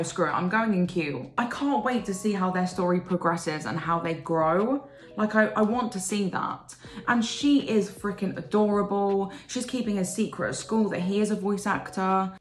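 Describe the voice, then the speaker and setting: A woman vlogger chatting casually to camera in a bubbly, gushing way, her voice a little croaky from the morning. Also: mid-range voice, fairly bright tone. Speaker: book vlogger. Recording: roomy room with faint music.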